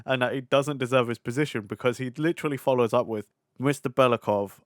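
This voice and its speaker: A man hosting a casual film podcast, slangy and animated as he retells scenes with mocking quotes. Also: mid-range voice, fast talker, no room sound, clean studio sound. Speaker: podcast host.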